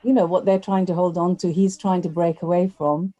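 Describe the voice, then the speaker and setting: A woman's voice: on the low side, little pitch movement, fairly quick, mellow, dull audio. A woman actress talking in an interview, chatty and full of "you know" fillers. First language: not Urdu. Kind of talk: conversational interview.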